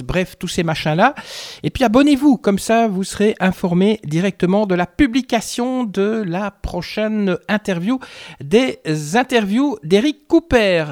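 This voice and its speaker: Guy with a pretty high voice asking playful interview questions, podcast host. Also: a little mellow.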